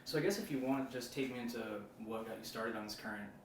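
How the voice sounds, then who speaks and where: A man's voice: medium pitch, fast talker. Man from upstate New York as documentary interviewer, rambling delivery, far from the mic in a noisy, echoey room. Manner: loose and rambling, says like a lot. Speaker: interviewer and one-man film crew.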